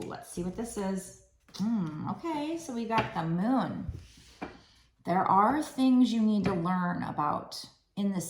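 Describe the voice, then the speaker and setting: A woman doing a tarot card reading, talking gently and musingly with restarts, her throat a little raspy. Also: fairly low pitch, reverberant, noisy background. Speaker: tarot reader.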